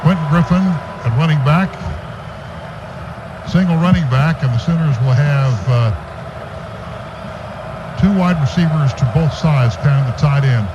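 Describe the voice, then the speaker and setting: An American man calling live football play-by-play on the radio, stretching words as plays develop. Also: on the high side. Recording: dull radio broadcast, clearly noisy.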